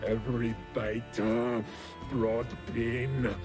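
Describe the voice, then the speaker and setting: An actor playing a savage, tormented cursed werewolf in a video game, growling in halting, broken phrases with groans. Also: male voice, medium pitch, little pitch movement, over music. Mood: menacing, pained. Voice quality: gravelly, growling. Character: cursed male werewolf, savage, boastful, tormented by endless hunger. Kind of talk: dramatic video game character acting.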